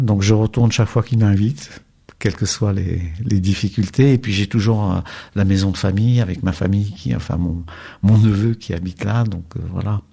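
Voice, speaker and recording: A man's voice: lowish voice, gravelly, dark tone, husky. An older man from Czechia with a faint Czech accent, a documentary filmmaker talking in a radio interview, hesitant with many fillers and restarts.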